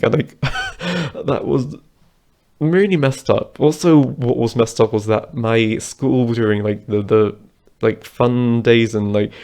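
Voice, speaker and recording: A man's voice: medium pitch, darkish tone. A man, telling personal stories to camera in a rambling, chatty way. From Britain. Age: 20s. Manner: rambling, chatty, loose and conversational. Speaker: vlogger. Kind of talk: casual personal storytelling to camera.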